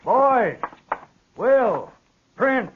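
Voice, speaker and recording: A man's voice: extremely high pitch, sing-song, very slow, a little hiss or hum, full character voice. An actor playing a stubborn old rancher father with a folksy Western drawl in a 1950s radio western. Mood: stern, questioning. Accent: American Western drawl. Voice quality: folksy rural speech. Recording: dull old radio recording.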